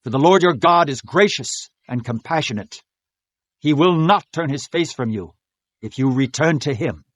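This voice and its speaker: A man's voice: fairly high pitch, lively intonation, normal speed, no room sound. An actor playing an earnest, pious king of Judah in a dramatized scripture reading, declaiming and pleading with his people. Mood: urgent exhortation.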